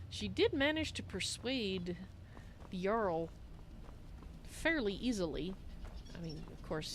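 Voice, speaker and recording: A woman's voice: fairly low pitch, background noise, lightly acted. A woman roleplaying a kindly, motherly cat-folk adventurer who speaks of herself in the third person in a fantasy game.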